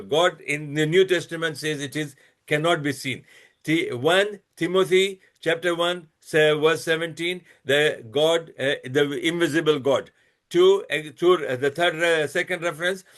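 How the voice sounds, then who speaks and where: A man hosting his own channel, giving a lecture-style talk. He reads out a string of references slowly and deliberately, with many restarts and fillers, and turns blunt and scolding when he addresses critics. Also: fairly high pitch, a bit bright. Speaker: channel host presenting a religious comparison.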